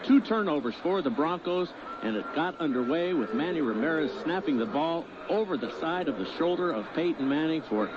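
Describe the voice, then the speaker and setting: A man's voice: a little high, expressive, a little fast. An American man hosting a live halftime report on a football radio broadcast. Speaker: sports broadcast host. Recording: dull radio broadcast, loud stadium noise.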